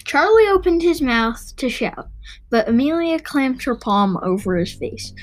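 A woman's voice: sits high, animated, a little slow, rough recording. A woman reading a children's novel aloud, stumbling now and then and rereading phrases. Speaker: reader and host of a read-aloud show.

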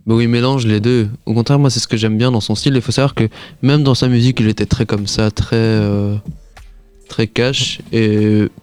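A man's voice: lowish voice, steady tone, normal speed, darkish tone, clear, resonant tone, no room sound. A man co-hosting a casual radio chat, talking hesitantly with many fillers. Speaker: radio show co-host.

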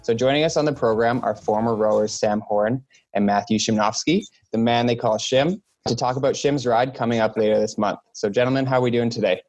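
A man's voice: medium pitch, brisk, darkish tone, slight background noise. A young man hosting a sports podcast, introducing guests in an upbeat way. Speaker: podcast host.

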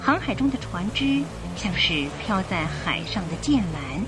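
A woman narrating a radio drama in an expressive, suspenseful storytelling style. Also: mid-range voice, measured pace. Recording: music bed behind the voice. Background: music.

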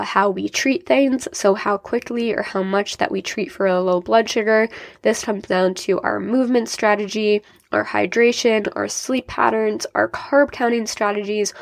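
A woman's voice: medium pitch, no room sound, clean studio sound. A young adult woman hosting a solo podcast, sounding a bit nasal and congested from a sinus infection, explaining things upbeat and directly to her listeners. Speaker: podcast host and diabetes coach.

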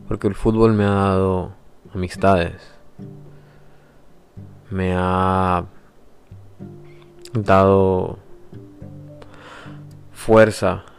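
A young man from El Salvador with a very deep voice talks reflectively, pausing and restarting as he introduces his podcast. Faint guitar music plays quietly behind him. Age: 20s. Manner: reflective, hesitant, with pauses and restarts. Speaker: podcast host. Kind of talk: personal introduction monologue.

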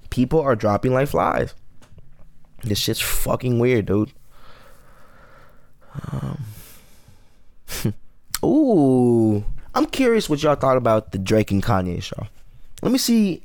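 A man's voice: medium pitch, gravelly, a little mellow, dry recording, clean studio sound. A man in his late twenties hosting a solo podcast, rambling through loose, profane casual commentary. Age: 20s. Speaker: podcast host.

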